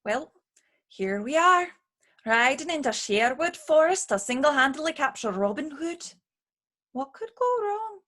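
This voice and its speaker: A woman's voice: sits high, very animated, a little slow, a bit bright, full character voice. A woman playing a sassy, sarcastic lady's maid, loyal but teasing, in a radio play. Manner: sarcastic, needling.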